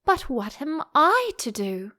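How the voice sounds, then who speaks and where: A woman's voice: high voice, big pitch swings, slow, sharp tone, voice pushed up, exaggerated voice. A woman voicing a curious, timid young storybook girl who is earnest, plaintive and easily exasperated. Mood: pleading.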